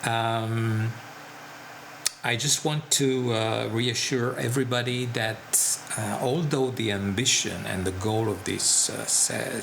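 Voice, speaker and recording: A man from Italy, an instructor speaking slowly and carefully, with frequent pauses and fillers. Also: lowish voice, vocal fry, background noise. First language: Italian. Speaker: seminar instructor. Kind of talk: promotional talk to camera.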